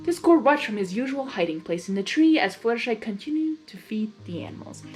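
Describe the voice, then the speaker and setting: A woman's voice: a little high, sing-song, fairly quick, darkish tone. A woman reading a story aloud expressively, with casual, informal asides in a giggly manner. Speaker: fan fiction reader. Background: music.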